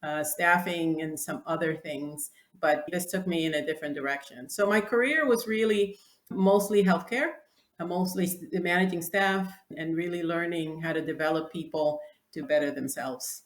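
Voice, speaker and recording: Woman, 60s, from the Dominican Republic, native Spanish speaker; slightly low voice, reflective interview guest in a roomy space. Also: moderate pace. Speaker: guest, clothing designer. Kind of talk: interview answers. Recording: echoey room.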